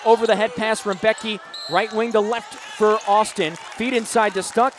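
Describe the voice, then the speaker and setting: A man doing live radio play-by-play of a basketball game from an arena, projecting his calls and getting animated on big plays. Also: high-pitched, normal speed, a crowd in the background. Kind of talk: live sports commentary. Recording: noisy live arena broadcast, bouncing balls.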